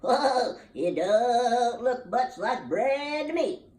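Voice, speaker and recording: A folksy storybook critter, played by a woman, drawls out nosy, doubtful remarks. The delivery is slow and puzzled, with lively pitch, in a roomy recording. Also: recorded from a distance, exaggerated voice. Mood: puzzled, skeptical. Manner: drawling, stretched-out questions. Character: slow, skeptical woodland animal neighbor, folksy and nosy.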